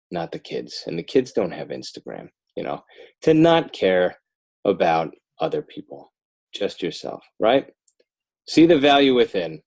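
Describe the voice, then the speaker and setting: Casting: American male, low voice, children's author guesting on a podcast, conversational. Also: darkish tone. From Northern New Jersey, United States. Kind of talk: casual podcast interview, plugging work.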